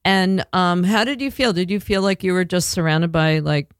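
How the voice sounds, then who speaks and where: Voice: mid-range voice, normal speed, smooth, no room sound, studio quality. A podcast host asking casual questions and offering frequent short affirmations. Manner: chatty, lots of backchannel affirmations. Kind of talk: casual interview.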